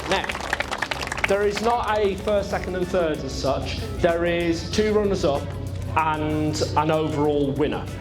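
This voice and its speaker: Man, high voice, chesty cough, upbeat event compère, outdoors, music behind. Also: unhurried. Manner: chirpy, coaxing, rallying the crowd. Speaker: car show presenter and video host. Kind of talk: outdoor event compering to a crowd. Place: outdoor car show.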